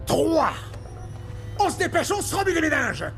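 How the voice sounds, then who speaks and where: A man's voice: very high-pitched, very animated, moderate pace, music in the background, exaggerated voice. A man voicing an arrogant, theatrical mad-genius doctor in a film dub, sneering and menacing with pompous, mocking speech. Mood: taunting, threatening. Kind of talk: French film fan dub acting.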